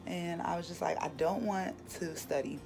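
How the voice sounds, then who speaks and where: A woman in her late twenties with a raspy voice, chatting candidly to her viewers in a casual morning vlog. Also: on the low side, moderate pace, rough recording. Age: late 20s. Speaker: vlogger. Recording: music playing behind.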